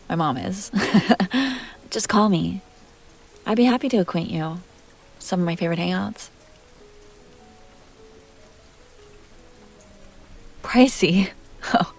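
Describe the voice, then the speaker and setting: Woman playing a breathy, seductive vampire heiress. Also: fairly low pitch. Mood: coy, inviting. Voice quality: soft, breathy, often dropping to a whisper. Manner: teasing, breathy asides, genteel and deliberate phrasing. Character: seductive, refined vampire heiress, coy and possessive. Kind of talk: intimate roleplay audio drama addressed to the listener.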